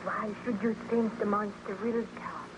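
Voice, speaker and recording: An actress playing a devoted, anxious young sweetheart in an old-time radio drama, earnest and questioning in a melodramatic style. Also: female voice, mid-range voice, fairly even intonation, a little slow, natural voice. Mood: alarmed, pleading. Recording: noisy, muffled old-time radio recording.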